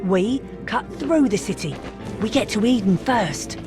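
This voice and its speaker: A woman's voice: medium pitch, expressive, measured pace, natural voice. Woman as a tough, knowing scavenger, music behind. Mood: matter-of-fact. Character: tough, knowing female post-apocalyptic scavenger. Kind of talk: video game character acting. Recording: music under the voice.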